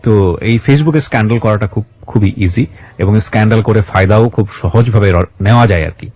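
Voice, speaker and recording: Man, Bangladeshi accent, radio host, low pitch, rapid delivery, emphatic, moralizing, English words mixed in. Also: a little mellow, no room sound. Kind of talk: radio interview with reflective monologue to listeners.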